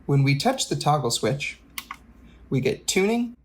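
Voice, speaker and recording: A man's voice: a little high, very animated, a little slow. A man narrating a technical music device tutorial, explaining each step methodically. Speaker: presenter of an instrument demo. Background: loud demonstrated instrument sounds.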